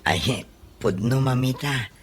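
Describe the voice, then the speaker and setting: A man's voice: mid-range voice, slow, noisy background, close to an everyday voice. A man playing a brash, comic tricycle driver and eager suitor in an Ilocano radio comedy drama.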